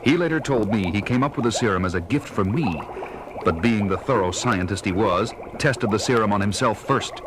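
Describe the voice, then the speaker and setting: An actor playing a grave, composed masked crime fighter in a superhero audio drama. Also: male voice, lowish voice, lively intonation, normal speed, background noise, natural voice. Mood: measured storytelling. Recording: loud dramatic music underneath.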